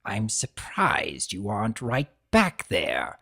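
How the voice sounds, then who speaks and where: A man's voice: on the low side, huge pitch swings, slow, vocal fry, a bit bright, husky, full character voice. A man voicing an ancient, weakened centaur villain in a story, bitter and enraged, snarling and muttering his words. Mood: bitter, resentful.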